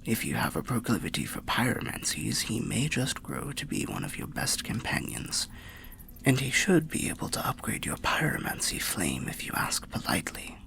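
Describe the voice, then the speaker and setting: A man voicing an old undead soul telling fantasy tales in a musing, unhurried ASMR roleplay manner, low, gravelly and half-whispered. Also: huge pitch swings, pushed and bright, slight background noise, full character voice. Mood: helpful, musing. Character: old undead soul, genial storyteller by a bonfire.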